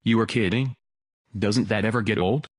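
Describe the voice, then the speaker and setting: A man's voice: lowish voice, steady tone, normal speed, lightly acted. A man playing a demanding, dryly exasperated hero in a sci-fi audio drama. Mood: weary, impatient. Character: weary, demanding male hero in custody.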